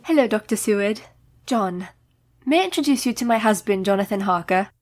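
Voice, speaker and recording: A woman's voice: medium pitch, lively intonation, brisk, a little mellow, close to an everyday voice. A woman playing a determined, loyal young married lady, polite but firm and formal, in a radio play.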